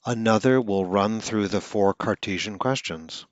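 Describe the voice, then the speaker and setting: A man's voice: lowish voice, unhurried, no room sound, muffled recording. A man who stutters now and then, hosting a podcast and coaching listeners in an earnest, instructional monologue.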